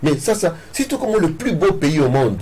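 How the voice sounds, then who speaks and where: A man's voice: a little high, very animated, moderate pace, a little mellow. A man with a Congolese accent being interviewed as a political candidate, switching between Swahili and French in an emphatic, self-assured way and repeating phrases. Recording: clearly noisy background ambience.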